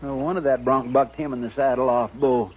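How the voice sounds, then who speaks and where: An actor playing a loyal, earnest frontier deputy in a radio western, with a folksy, deferential way of talking. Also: male voice, mid-range voice, steady tone, a little fast, close to an everyday voice. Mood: indignant, eager. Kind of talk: 1950s radio western acting. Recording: muffled, noisy old radio recording.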